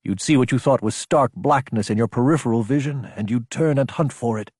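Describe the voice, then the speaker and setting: Husky male audiobook narrator, dry sound. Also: mid-range voice, a little fast, a little mellow, no room sound. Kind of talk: scripted dramatic fiction narration.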